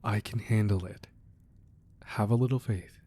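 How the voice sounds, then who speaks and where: Husky, low-voiced tender crime boss husband played by a man. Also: steady tone, a little slow. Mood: reassuring, reluctant. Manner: soft, intimate, affectionate murmur. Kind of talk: intimate ASMR romantic roleplay acting.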